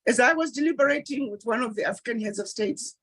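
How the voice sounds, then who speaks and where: A woman's voice: a little high, quick pace, fairly bright tone, husky. A woman speaking at a diaspora planning meeting, giving an emphatic public address and repeating key points for emphasis. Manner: acts out quoted dialogue.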